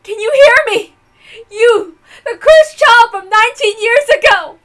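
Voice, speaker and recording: Woman acting high-voiced, guilt-ridden anguished mother. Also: a little slow, faint music behind. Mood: anguished, desperate. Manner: theatrical, emotional, swinging from hushed to impassioned. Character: guilt-ridden mother confessing murder, proud, anguished, desperate. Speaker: visual novel reader. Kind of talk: dramatic visual novel read-aloud.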